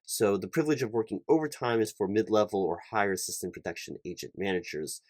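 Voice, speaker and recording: A man with a fairly low voice, recorded close and dry. He plays a hiring manager in a one-sided ASMR interview roleplay, talking in polite, officious corporate phrases with a halting delivery. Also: no room sound. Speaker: performer playing a recruiting hiring manager.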